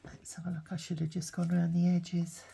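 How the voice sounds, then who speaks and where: A middle-aged woman with a croaky voice, half-whispering to herself while making a collage. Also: fairly low pitch, monotone, fairly quick, warm tone, a little hiss or hum. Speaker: craft video host. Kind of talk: soft-spoken crafting commentary.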